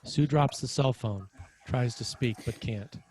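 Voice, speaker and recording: A man's voice: medium pitch, unhurried, a little mellow, a little hiss or hum. A man reading screenplay action lines as narrator at a table read. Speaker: screenwriter narrating stage directions.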